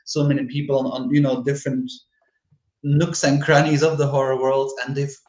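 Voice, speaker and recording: A man with a Flemish accent, speaking English as a second language, talks candidly and reflectively as a podcast guest, breaking into easy laughs. His voice sits at an ordinary middle pitch. The recording carries a noticeable roomy echo. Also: level tone, fairly quick, a little mellow. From Belgium (Flanders). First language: Dutch (Flemish). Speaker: horror author, podcast guest. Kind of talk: casual interview chat.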